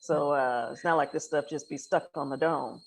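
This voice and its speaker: A woman's voice: sits low, moderate pace. An American woman hosting a casual, unscripted podcast in a playful, emphatic, colloquial way. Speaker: podcast host. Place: hotel with people passing in the background. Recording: clearly noisy podcast audio with ringing.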